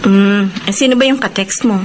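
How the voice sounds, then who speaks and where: A woman's voice: mid-range voice, normal speed, close to an everyday voice. An actress with a Filipino accent playing a doting, nagging wife in a radio drama, insistent with her reminders. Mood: teasing, doting. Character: doting, nagging wife who fusses over her husband. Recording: faint noise and ambience behind.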